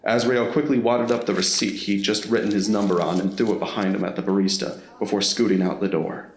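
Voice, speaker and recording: Male narrator for a scripted audio drama, lower register, roomy echoing recording. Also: steady tone, fairly quick.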